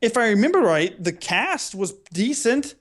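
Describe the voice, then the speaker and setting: A man with a notably high-pitched voice co-hosts a casual podcast. He rants comically, with an excitable, theatrical delivery that acts out reactions as he goes. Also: moderate pace. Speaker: podcast co-host. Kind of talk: casual chat, comic ranting.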